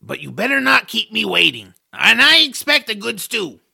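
Gravelly, growling troll. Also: male voice, high voice, very animated, normal speed, bright, forceful tone, pitched above the performer's own voice, full character voice. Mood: gruffly fond. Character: gruff ugly troll, proud, loyal, grudgingly warm. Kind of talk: audiobook drama character acting.

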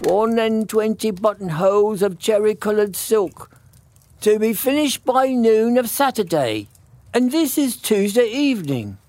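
A man voicing a poor old tailor in a storybook, anxious and lamenting, with mournful muttering and drawn-out pleas. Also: high-pitched, lively intonation, a little mellow, voice pushed up.

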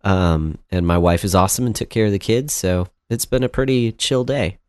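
Guy w a cold, deep stuffy nasal voice, rambly podcast chat, dry sound. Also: moderate pace, a little mellow, clear, resonant tone, no room sound. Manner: rambling, self-deprecating, many fillers and restarts. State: has a cold. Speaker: podcast co-host. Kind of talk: casual chat.

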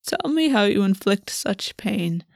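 A woman reading the part of a measured, cold-edged male prince in a fantasy audiobook, speaking slowly and softly. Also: mid-range voice, lively intonation, dry recording, clean studio sound. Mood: grave.

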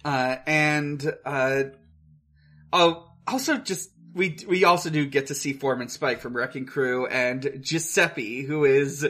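A podcast co-host recapping a film casually, in a hesitant, halting way with searching pauses. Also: mid-range voice, a bit bright.

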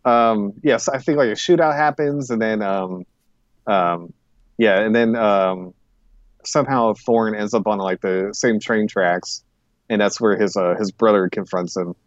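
A man's voice: on the low side, unhurried, no room sound, lo-fi sound. A man co-hosting a podcast in a casual review chat, rambling, hesitant and often correcting himself. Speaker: podcast co-host.

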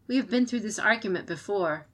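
A woman's voice: mid-range voice, lively intonation, brisk, fairly bright tone, husky. A woman reading the lines of a proud, dutiful female clan champion in an audiobook. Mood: firm.

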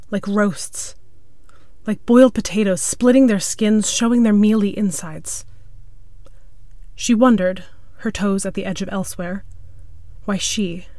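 A woman's voice: medium pitch, darkish tone, dry recording. A woman reading a piece of scripted literary fiction as its narrator. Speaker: story narrator.

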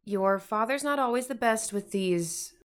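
An actress playing a patient, tired middle-aged mother in a modern audio drama, speaking with measured, tactful firmness. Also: fairly high pitch, clear, resonant tone, close to an everyday voice. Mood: weary, firm. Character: patient, weary middle-aged American mother, gently firm.

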